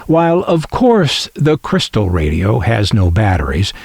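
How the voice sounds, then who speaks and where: A man's voice: fairly low pitch, huge pitch swings, unhurried, creaky, a little mellow, no room sound. A man giving a scripted narration as a collector, with wry, folksy asides and some showmanship.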